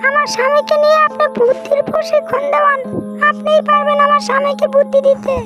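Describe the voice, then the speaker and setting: A woman's voice: very high voice, level tone, quick pace, dull audio, over loud music. A woman voicing an exasperated, scolding fox wife in a comic cartoon. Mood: complaining, pleading.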